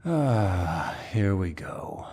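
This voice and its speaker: A man playing a suave, dominant demon lord who speaks in a deep, husky voice with mocking courtesy, as in an ASMR villain roleplay. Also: big pitch swings, mellow, heavily acted. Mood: mocking, bored. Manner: slow, mocking, mock-courteous, theatrical.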